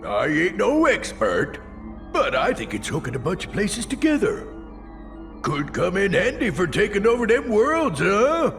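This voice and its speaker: A high, gravelly cartoon brute, swinging wildly in pitch. He brags and gloats in crude, folksy slang like a bumbling henchman in a game, with loud music behind him. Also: wildly swooping pitch, measured pace, exaggerated voice. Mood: gloating. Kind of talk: animated game cutscene acting.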